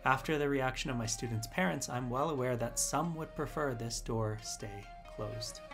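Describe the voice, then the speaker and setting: A man's voice: mid-range voice, normal speed. A man reading his own essay aloud as scripted narration. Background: instrumental music.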